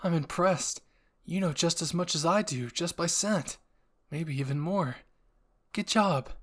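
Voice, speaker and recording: An earnest young male soldier from an anime fan story, acted out by a man. His voice sits higher and sounds alert. He speaks kindly and with curiosity, like someone working things out with a comrade. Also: a little slow, a bit bright. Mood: impressed.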